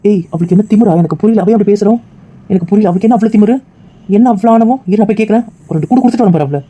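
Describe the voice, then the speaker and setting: A man's voice: sits high, very fast, warm tone. An actor playing a loyal, hot-headed young male friend who fires off incredulous, indignant questions. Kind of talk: emotional drama acting.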